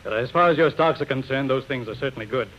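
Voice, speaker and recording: An actor playing a polite, anxious middle-aged gentleman magnate in hesitant 1930s radio style. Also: medium pitch, quick pace, close to an everyday voice. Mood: regretful. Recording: dull, noisy 1930s radio broadcast.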